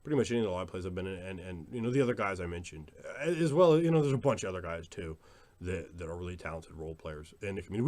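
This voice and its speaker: A man's voice: lowish voice, darkish tone. A man giving a casual, opinionated vlog monologue as a tabletop gaming creator, emphatic and repeating phrases for stress. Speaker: tabletop role-playing video creator.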